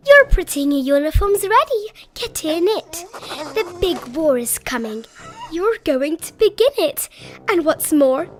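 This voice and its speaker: A woman's voice: very high-pitched, big pitch swings, unhurried, pitched above the performer's own voice, heavily acted. A British woman voicing a pompous, jolly military chief of storybook creatures, hearty and boastful, in a children's read-aloud. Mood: jovial, rousing.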